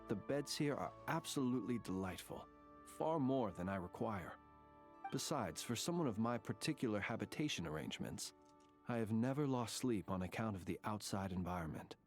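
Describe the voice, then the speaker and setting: A man's voice: fairly low pitch, expressive, soft background music, lightly acted. A man voicing a courteous, wistful ancient dragon prince. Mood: wistful, solemn. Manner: formal, measured, old-fashioned courteous phrasing. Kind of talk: scripted game character dialogue.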